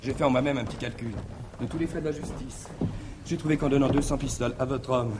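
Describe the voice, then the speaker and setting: An actor playing a wily, cajoling manservant, swinging between confiding murmurs and exclamations in a theatrical stage farce. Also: male voice, medium pitch, steady tone, fast talker, a little mellow, close to an everyday voice. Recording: slightly noisy 1961 theatre recording.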